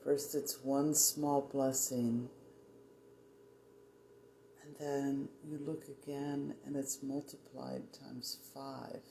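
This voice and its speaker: A meditation guide speaking soothingly in short, measured phrases with long pauses, offering spiritual affirmations. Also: mid-range voice, flat delivery, vocal fry, warm tone. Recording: roomy echo, faint background noise.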